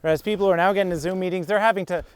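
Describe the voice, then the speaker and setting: Joking comedian guy on a hike, pretty high voice, really clean and smooth. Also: fairly even intonation, rapid-fire. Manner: wry, joking. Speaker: podcast co-host, comedian. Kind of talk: casual chat on a hike. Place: outdoor mountain hiking trail.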